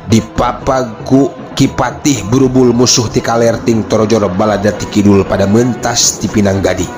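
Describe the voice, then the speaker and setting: A man's voice: fairly low pitch, steady tone, normal speed. A man narrating a folk tale in a theatrical, declamatory recitation. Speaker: storyteller. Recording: loud music bed under the voice. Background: music.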